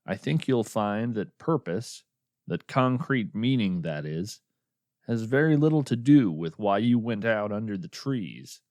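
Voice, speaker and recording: A man playing a wry, musing naturalist who hosts a radio show of the uncanny, narrating in a measured, contemplative, folksy way. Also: lowish voice, darkish tone, dry recording, studio quality, close to an everyday voice. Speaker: podcast host and narrator. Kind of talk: scripted narration, reflective storytelling with poetry reading.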